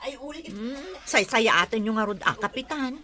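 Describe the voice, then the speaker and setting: A woman's voice: a little high, lively intonation, measured pace, crowd noise. A woman with an Ilocano accent acting a fretful, plaintive villager complaining to the village captain in a radio comedy drama. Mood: hopeful, emphatic.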